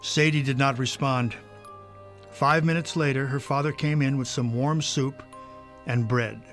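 A man's voice: medium pitch, fairly even intonation, unhurried, a bit bright, soft background music. A man narrating a scripted children's story.

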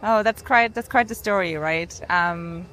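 Lower-voiced Danish woman telling her story. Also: a little slow, bright, forceful tone, poor audio. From Denmark. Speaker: podcast guest, entrepreneur. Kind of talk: conversational interview storytelling.

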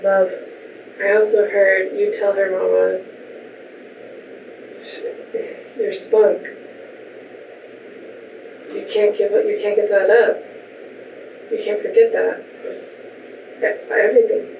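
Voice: mid-range voice, level tone, slow pace, vocal fry, pauses often. A seance participant talking in an echoey room, recorded from a distance with a dull sound and faint noise.

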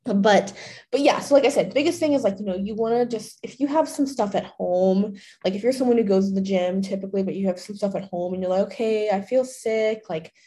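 A young woman hosts her fitness podcast solo, her voice a little hoarse after a recent illness. She talks in a loose, chatty way and fills her sentences with "like" and "you know." Her tone is mellow and warm, and her pitch sits at a middle height. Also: fairly quick. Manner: chatty, many fillers, laughs often. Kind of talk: casual solo podcast talk.

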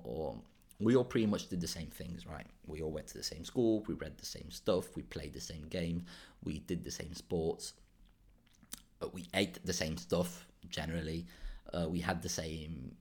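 A man from southern Spain hosting a solo podcast, talking casually with many restarts and frequent tag questions. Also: sits low. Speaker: podcast host.

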